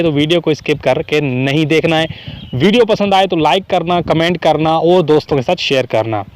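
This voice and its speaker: A man's voice: a little high, no room sound. A man hosting a casual phone tutorial, explaining things step by step in a repetitive way to his viewers. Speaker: host of a phone tips video channel. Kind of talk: casual app tutorial to camera and screen.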